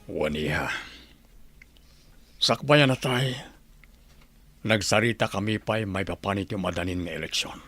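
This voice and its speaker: A man's voice: sits low, a little slow, long pauses, a bit bright, dry recording, soft background music. A man playing an earnest middle-aged father and soldier with political hopes in a radio drama. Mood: calm, earnest.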